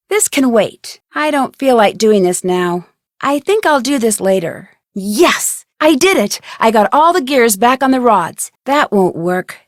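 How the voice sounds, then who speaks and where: A woman's voice: a little high, dry recording, studio quality. A woman voicing a plucky, curious young female detective in a video game, speaking earnestly and conversationally as if thinking aloud. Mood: cheerful, eager, then curious, puzzled. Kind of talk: scripted video game voice acting.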